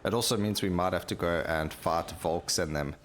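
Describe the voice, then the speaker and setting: Low-pitched man doing gameplay commentary. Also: normal speed, fairly bright tone. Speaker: gaming video host. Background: game music.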